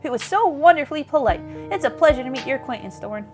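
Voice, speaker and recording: A woman's voice: high-pitched, expressive, quick pace, over music, voice pushed up. A woman voicing a chatty pug dog as a genteel, florid Southern belle in a video game. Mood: gushing politeness. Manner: florid, mannered politeness.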